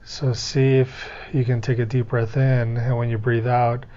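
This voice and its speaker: Male guided-meditation instructor, mid-pitched voice, gentle and unhurried delivery, somewhat muffled lo-fi sound. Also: little pitch movement. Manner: gentle, unhurried step-by-step coaching with pauses.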